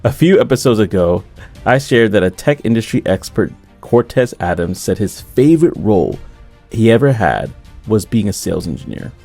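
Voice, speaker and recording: A man's voice: lowish voice, very animated, moderate pace, gravelly, warm tone, soft background music. A male host opening an interview show with an upbeat, inviting presenter manner. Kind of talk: podcast intro and interview.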